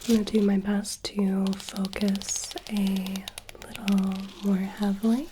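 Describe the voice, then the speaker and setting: A woman whispering gently through a caring ASMR personal-attention roleplay, her voice a little hoarse and sniffly from hay fever. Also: medium pitch, little pitch movement, slow pace, background noise. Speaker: ASMR creator.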